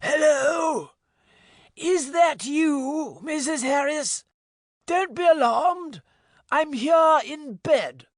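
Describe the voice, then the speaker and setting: A man doing a croaking, sick gentleman. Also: extremely high pitch, drawn-out words, no echo, studio quality, voice pushed up, full character voice. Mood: reassuring. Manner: calling out, reassuring. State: hoarse, croaking. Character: hoarse ailing middle-aged gentleman in bed.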